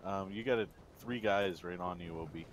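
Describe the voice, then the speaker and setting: A man's voice: low-pitched, moderate pace. A man from New Hampshire chatting casually with a streamer during a game, easygoing and laughing often. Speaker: teammate. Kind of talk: casual gaming chat.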